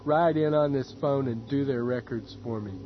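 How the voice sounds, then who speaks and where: A man voicing a tense young male hacker, talking clipped, blunt and fast. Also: mid-range voice, lo-fi sound, over music, natural voice. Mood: urgent. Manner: clipped, quick, urgent.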